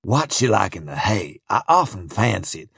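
Man doing a low, growling, sardonic Southern tough. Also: big pitch swings, moderate pace, gravelly, a bit bright, breathy edge, no echo, clean studio sound, full character voice. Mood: sly amusement. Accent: American Southern. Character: tall, sardonic American frontier tough, coolly menacing.

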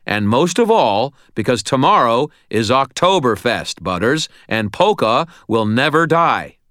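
A man's voice: medium pitch, big pitch swings, measured pace, a bit bright, no echo, studio quality, pitched above the performer's own voice. A man voicing a stubborn, commanding hero in an audiobook, speaking tightly and emphatically to rally someone. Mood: urgent rallying. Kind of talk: audiobook character acting.

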